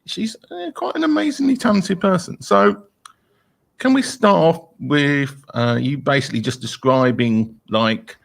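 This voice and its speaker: A man's voice: a little high, unhurried, gravelly. A man hosting a casual online interview, introducing his guest in a rambling, hesitant way with many fillers. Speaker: host.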